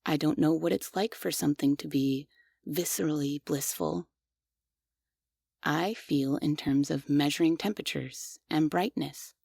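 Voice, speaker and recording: A woman voicing a devoted, obsessive female maid robot in a scripted ASMR roleplay. She speaks softly and in measured phrases, close to the mic. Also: sits low, creaky, no echo, exaggerated voice.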